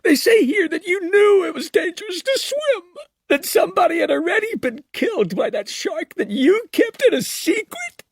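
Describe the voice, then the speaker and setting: A man's voice: extremely high pitch, sing-song, normal speed, no room sound, pitched above the performer's own voice, full character voice. A man voicing a grieving mother who screams and cries her accusations in fury. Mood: screaming grief. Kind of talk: audiobook character reading.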